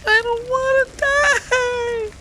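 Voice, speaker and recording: A man voicing a terrified young girl from a visual novel, in a halting, wavering falsetto, with some background noise. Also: steady tone, exaggerated voice. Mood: frightened, pleading. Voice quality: squeaky falsetto.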